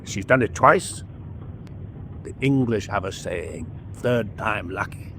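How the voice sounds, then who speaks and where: An actor playing a coolly persuasive, ruthless adviser aboard a U-boat in a radio drama, formal and insinuating. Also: male voice, fairly low pitch, expressive, takes it slowly, fairly bright tone, a little hiss or hum.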